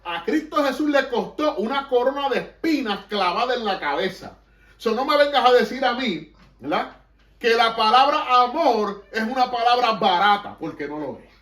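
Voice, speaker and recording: A man's voice: extremely high pitch, expressive, moderate pace, fairly bright tone. A man with a Puerto Rican accent preaching fervently to a congregation as pastor, mixing in English. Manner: fervent, emphatic preaching that slips between Spanish and English. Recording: echoey room, mic at a distance.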